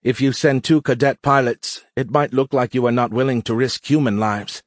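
A man's voice: mid-range voice, fairly even intonation, normal speed, no room sound, studio quality, voice pushed up, lightly acted. A man voicing a matter-of-fact, forthright woman pilot in an audiobook, explaining things earnestly to a commander. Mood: persuasive.

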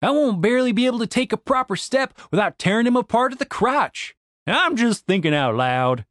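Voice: medium pitch, sing-song, fairly quick, no echo, clean studio sound, pitched above the performer's own voice, close to an everyday voice. A narrator voicing a big young man, sardonic and exasperated, with a casual grumbling manner, as audiobook dialogue. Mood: exasperated, wry.

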